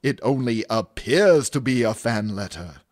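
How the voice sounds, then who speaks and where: A man's voice: mid-range voice, animated, unhurried, no room sound, studio quality. A man playing a self-pitying, theatrical has-been stage actor in a comedy audio drama, declaiming like a mock-Shakespearean. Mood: woeful, dramatic. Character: pompous, fading old-school stage actor, self-pitying.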